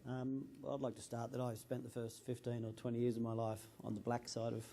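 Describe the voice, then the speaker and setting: A man speaks on an industry panel in an even, level tone with a gravelly edge. His voice is dark and mellow, and faint noise sits behind him. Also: medium pitch, fairly quick. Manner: steady, explanatory. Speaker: panelist, energy industry adviser. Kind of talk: panel answer. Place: conference room.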